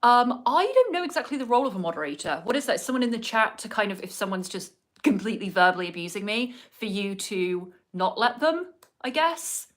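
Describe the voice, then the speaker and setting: A woman's voice: mid-range voice, sing-song, a little fast. An English woman on a casual livestream, chatting while doing her makeup at home, rambling with many fillers and asides to her viewers. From England. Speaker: livestreaming vlogger. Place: home indoors in cold winter weather.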